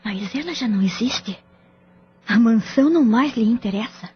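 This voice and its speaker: A woman's voice: on the high side, animated, moderate pace, lo-fi sound, close to an everyday voice. A Brazilian woman playing a proud, wounded middle-aged wife and mother in an audio drama. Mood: worried. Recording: band-limited recording with music bed.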